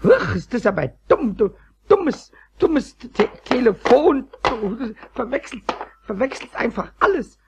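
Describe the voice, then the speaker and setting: An actor playing a mischievous childlike kobold in a children's radio play, raspy and croaky, stammering and repeating words when excited. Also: male voice, extremely high pitch, unhurried, slight background noise, heavily acted. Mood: flustered, indignant. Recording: dull old cassette recording.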